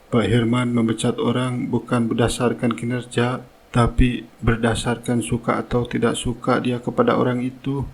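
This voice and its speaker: A man playing a loyal, deferential driver in a cartoon melodrama, speaking formally in a husky voice. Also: medium pitch, monotone, normal speed. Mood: earnest. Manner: formal, respectful. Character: loyal, deferential driver reporting to his boss.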